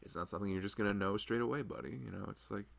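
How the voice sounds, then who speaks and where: Guy acting as a sweet nervous husband, asmr roleplay, real deep n crackly voice, soft rambly talk. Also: steady tone, very fast. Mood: reassuring. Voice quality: low, gravelly voice. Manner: rambling, soft-spoken, chuckling, often drifting toward a murmur. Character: loving, nervous young husband, father-to-be. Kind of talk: improvised ASMR roleplay, intimate chat.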